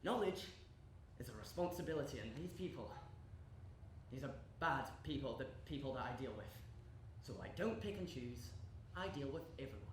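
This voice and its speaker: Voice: medium pitch, expressive, moderate pace, close to an everyday voice. Erratic, swooping underworld landlord played by a student actor. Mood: musing, wary. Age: young adult. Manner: rambling, repetitive, erratic emphasis with sudden shouts. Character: eccentric, evasive underworld landlord, talkative and volatile. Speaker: actor in a stage play. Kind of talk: live theatre monologue and dialogue. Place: echoey indoor performance space. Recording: roomy live stage recording with noise.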